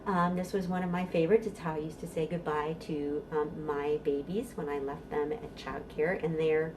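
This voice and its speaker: A woman's voice: sits low, fairly even intonation, normal speed, noisy background. A middle-aged American woman leading a storytime for babies as a children's librarian, giving instructions and reciting rhymes in a sing-song, encouraging way.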